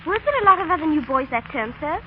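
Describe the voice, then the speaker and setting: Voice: high voice, very animated, fast, slight background noise. A performer playing a shy, polite young British schoolboy in an old radio drama, hesitant and deferential. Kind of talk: 1930s radio drama acting. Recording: muffled 1939 radio broadcast.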